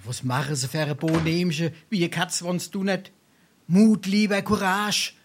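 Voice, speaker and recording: An actor playing a brazen, silver-tongued sponger and drinker in a Darmstadt dialect stage comedy, speaking South Hessian with mock-pompous flourishes. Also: male voice, sits high, steady tone, unhurried, slight background noise. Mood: wheedling, boastful. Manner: florid mock-pompous speech, theatrical sighs.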